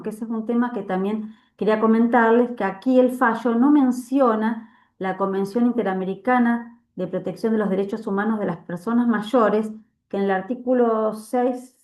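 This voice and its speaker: Woman moderating a seminar, calm explainer, roomy echo on the call. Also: medium pitch. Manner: measured and explanatory. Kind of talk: academic discussion. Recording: echoey room on a video call.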